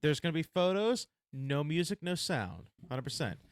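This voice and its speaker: Deep-voiced man rambling in casual chat. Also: sing-song, normal speed, smooth, dry recording. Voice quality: deep baritone. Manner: rambling, lots of "like" and restarts. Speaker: podcast co-host.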